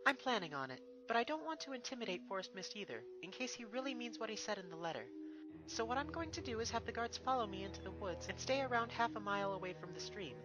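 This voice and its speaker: Cartoon pony princess, man performing: young, studious, high voice, cautious yet curious, earnest delivery. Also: sing-song, quick pace, over music, poor audio. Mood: resolved. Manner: earnest, reasoning aloud.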